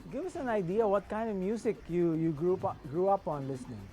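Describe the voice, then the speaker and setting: Halting man hosting a talk show. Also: high-pitched, warm tone, faint music behind. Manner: halting, repeats words while forming questions. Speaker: host.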